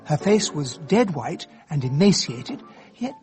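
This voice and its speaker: An actor playing a genial, naive English gentleman in a radio drama, earnest and polite, with an upper-class English accent, both in dialogue and narration. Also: a little high, very animated, a little slow, soft background music. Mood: reflective narration. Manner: earnest, polite, slightly hesitant.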